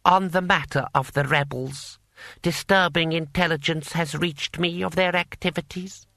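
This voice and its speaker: A man's voice: fairly high pitch, steady tone, normal speed, gravelly, pushed and bright, no echo, voice pushed up. A man voicing an imperious, scheming, impatient fantasy warlord in an audiobook, haughty and coolly polite. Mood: smooth, grave.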